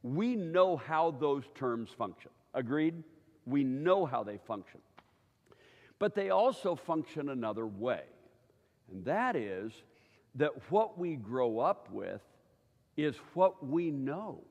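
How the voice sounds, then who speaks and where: Older male preacher, conversational teacher, mid pitch, recorded with long church-hall reverb. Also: big pitch swings, slow pace, a little mellow. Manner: chatty, keeps checking in with listeners.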